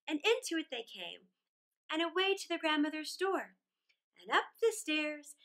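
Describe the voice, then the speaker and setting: High, animated woman storyteller. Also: rough recording. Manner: theatrical, deliberate phrasing. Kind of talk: expressive children's fairy tale read-aloud. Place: small indoor room.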